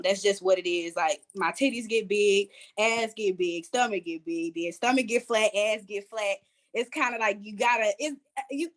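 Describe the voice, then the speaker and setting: Candid, slangy woman on a panel. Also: mid-range voice. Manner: candid, joking, colloquial. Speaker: panelist, performer.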